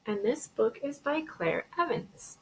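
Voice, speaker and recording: A woman teacher reading a children's storybook aloud in an expressive way. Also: a little high, measured pace, a little hiss or hum, poor audio. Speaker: elementary school teacher.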